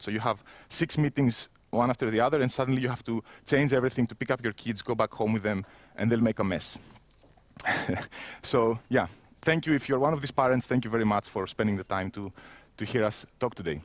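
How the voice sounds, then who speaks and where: A man's voice: mid-range voice, brisk. A man in his thirties with a Greek accent, giving a self-mocking conference keynote while standing in an empty indoor room on a headset mic. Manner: self-mocking, conversational, with frequent restarts. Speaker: keynote speaker.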